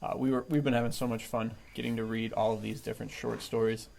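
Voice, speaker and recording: A man's voice: mid-range voice, flat delivery, fast talker, gravelly, darkish tone. A man hosting a podcast in casual, chummy chat, enthusiastic and often chuckling. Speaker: podcast host. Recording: faint background noise.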